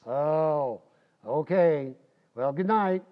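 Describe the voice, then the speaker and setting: An actor playing a blustering, stubborn, folksy husband in a 1940s-style radio comedy. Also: male voice, on the high side, expressive, drawn-out words, dull audio. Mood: boastful.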